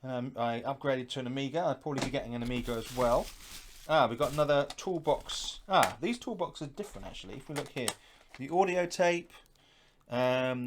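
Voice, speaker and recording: A middle-aged man with a medium-pitched voice talks casually and unscripted, as the host of an unboxing. He muses out loud as he goes. There is faint noise and some clicking from handling behind him. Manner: musing, thinking aloud while handling items. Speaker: host of a retro gaming unboxing channel.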